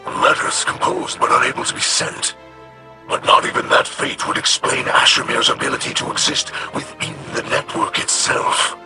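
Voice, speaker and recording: An actor playing a courteous, scholarly alien in a video game, speaking formally and haltingly in a breathy, whispery voice. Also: male voice, on the high side, bright, forceful tone. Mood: hesitant, curious. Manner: formal, halting, deliberate delivery. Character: eerie, courteous, scholarly alien male, solemn and curious. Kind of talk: scripted video game dialogue. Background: music.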